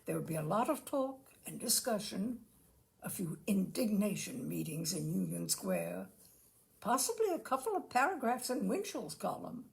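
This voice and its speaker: Woman, very lively intonation, noticeable vocal fry, theatrical literary narration, measured dramatic phrasing. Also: medium pitch. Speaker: reader of literary humour. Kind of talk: expressive reading of a comic essay.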